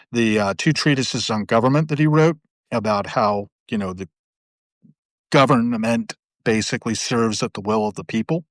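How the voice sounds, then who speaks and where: A man's voice: mid-range voice, dry recording. A middle-aged man hosting a casual live video chat, talking in long, rambling, digressive stretches. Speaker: host. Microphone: video call.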